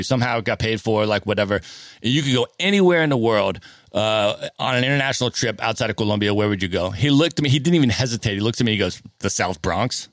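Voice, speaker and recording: A man hosting a podcast, telling travel stories in a lively, casual chat and often adding "man" and "right?". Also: mid-range voice, no room sound, clean studio sound. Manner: storytelling, animated, says "man" and "right?" often. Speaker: podcast host.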